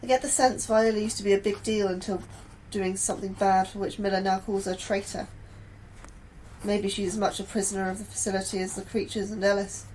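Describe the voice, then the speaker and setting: An anxious, conscientious scientist played by a woman confides in an audio diary for a sci-fi horror drama. Her voice sits at a medium pitch. There is slight noise in the recording. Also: fairly even intonation, a little fast, darkish tone, natural voice, poor audio. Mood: troubled. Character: anxious conscientious female astrobiologist keeping a diary. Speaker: narrator.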